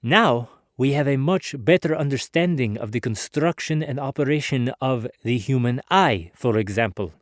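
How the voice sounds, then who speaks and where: A man playing an earnest, philosophical inventor in a dramatic biography, speaking in a measured, formal, oratorical way. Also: mid-range voice, expressive, no room sound, clean studio sound, close to an everyday voice. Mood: reflective, earnest. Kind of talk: dramatic biography narration, formal first-person monologue.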